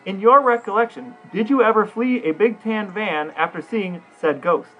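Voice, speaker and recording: A man with an American accent and a fairly high voice talks casually as a podcast co-host, putting questions in a conversational way. The audio is slightly dull and lo-fi, with some music behind him. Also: moderate pace. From Ohio, United States.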